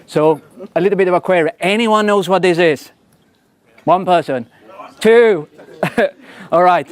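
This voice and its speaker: A man's voice: high voice, measured pace, no echo. Chatty Swedish-accented man giving a talk. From Sweden. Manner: chatty, self-deprecating, many fillers and tag questions. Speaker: engineering leader giving a talk. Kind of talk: casual conference talk.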